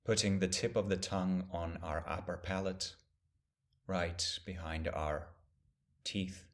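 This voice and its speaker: A man teaching a guided meditation, speaking gently and in measured phrases with long pauses. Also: sits low, steady tone.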